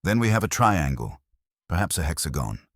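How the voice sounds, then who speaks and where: A man voicing an aloof, precise older detective in clipped, unhurried dialogue. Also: low-pitched, very animated, a bit bright, no room sound, studio quality, heavily acted. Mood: deliberate. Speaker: armchair detective. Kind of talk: audiobook dialogue.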